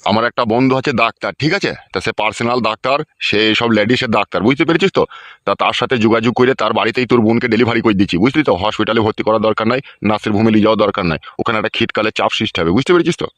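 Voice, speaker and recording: A man's voice: lowish voice, racing, a bit bright, no echo, lightly acted. A man voicing a greedy, foul-mouthed village uncle in a comedy cartoon, scolding in crude slang. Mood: bossy scheming. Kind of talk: Bengali comedy cartoon dubbing.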